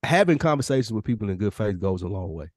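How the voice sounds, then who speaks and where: Animated, opinionated man in casual chat. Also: on the low side, quick pace, dry recording, studio quality. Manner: opinionated, profane, emphatic. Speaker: podcast co-host.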